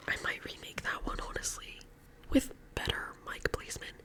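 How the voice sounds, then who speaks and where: Bright whispering Californian young woman. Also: moderate pace. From California, USA. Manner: rambling and chatty, full of "like" and "um". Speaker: ASMR creator. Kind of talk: whispered ASMR chit-chat.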